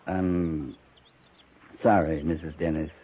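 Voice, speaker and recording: An actor playing a dutiful, restrained cavalry captain, measured and courteous, in a 1950s radio western. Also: male voice, rumbling low, steady tone, husky, exaggerated voice. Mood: regretful, firm. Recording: tinny, noisy 1950s radio recording.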